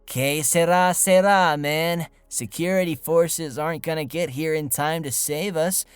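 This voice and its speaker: A man plays an easygoing, fatalistic young slacker in an audio space comedy. He drawls slowly in a slightly high voice. Faint music runs behind him. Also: fairly even intonation, clean tone, no room sound, close to an everyday voice. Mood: mellow. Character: laid-back, fatalistic young dude stranded in space. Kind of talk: audio comedy drama acting.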